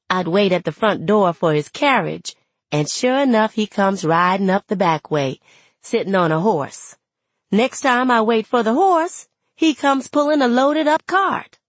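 Woman playing a plainspoken, husky young storyteller. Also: mid-range voice, expressive, normal speed, no room sound, close to an everyday voice. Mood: wry, aggrieved. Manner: conversational dialect storytelling. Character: young enslaved mixed-race woman, plainspoken, wry, resentful. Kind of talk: first-person audiobook narration in character.

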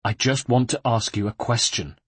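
A man reading an earnest, patient adventurer in an audiobook, speaking in a measured, courteous, firm way. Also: mid-range voice, steady tone, slightly rough, dry recording, lightly acted. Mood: urgent, reassuring. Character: earnest, patient male Italian adventurer seeking information.

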